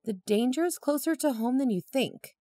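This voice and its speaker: A woman playing a concerned investigative podcast co-host, narrating in an expressive, conversational way. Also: high-pitched, moderate pace. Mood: concerned, explanatory. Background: background music.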